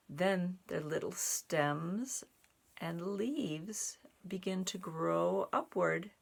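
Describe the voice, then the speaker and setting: A woman reading a children's picture book aloud in an expressive, deliberate way for young listeners, with little asides. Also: fairly low pitch, vocal fry. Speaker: storybook reader and sign language teacher.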